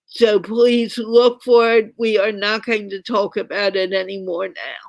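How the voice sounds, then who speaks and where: A woman's voice: medium pitch, fairly even intonation, measured pace, creaky, no echo. A woman hosting an online English conversation show, speaking in a firm, instructive way on a video call. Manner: firm, instructive, reminds guests to mute. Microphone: computer mic on a video call.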